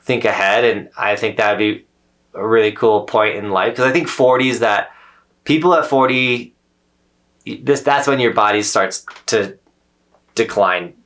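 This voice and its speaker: Male co-host, thirties, reflective and earnest, somewhat low voice. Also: a little slow, a bit bright. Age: 30s. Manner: earnest, reflective, loses his train of thought. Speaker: podcast co-host. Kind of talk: casual chat.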